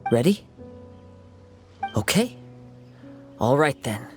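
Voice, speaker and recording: A composed young wandering samurai from a video game, courteous and measured. His voice sits at a middle pitch. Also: very animated, over music.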